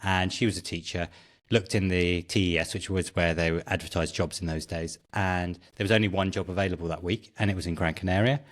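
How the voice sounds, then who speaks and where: A middle-aged British man speaks as an interview guest. His voice is fairly low, and he talks in a casual, anecdotal way. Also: steady tone, brisk. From Britain. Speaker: podcast guest, tech founder.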